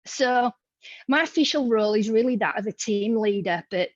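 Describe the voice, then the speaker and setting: A woman's voice: on the high side, moderate pace, no room sound. A woman in her thirties with a Yorkshire accent, a museum education leader talking informally and chattily to colleagues. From Yorkshire, England. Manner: friendly and chatty, with ums. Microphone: video call. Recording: dry, muffled video-call audio.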